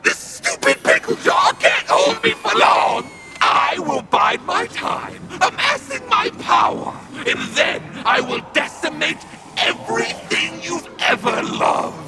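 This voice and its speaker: A menacing video game ghost with grandiose, taunting delivery. The voice is pitched very high for a male and swoops widely, croaking at the edges. He draws out his boasts with pompous scorn. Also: sing-song, slow, very noisy, exaggerated voice. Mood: furious, ranting. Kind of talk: video game villain acting.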